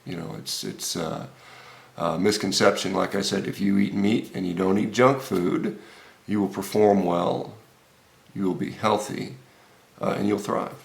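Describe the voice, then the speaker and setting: An American man in his fifties giving informal, unscripted video commentary as a health vlogger, halting often with hesitations and repeated words. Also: sits low, fairly even intonation, vocal fry. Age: 50s. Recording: roomy, echoey room sound.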